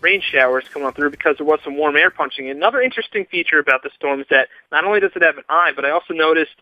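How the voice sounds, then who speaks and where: A man delivering radio weather forecasts as a meteorologist, with brisk, upbeat forecaster patter. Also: on the high side, no echo, phone-quality sound, faint music behind.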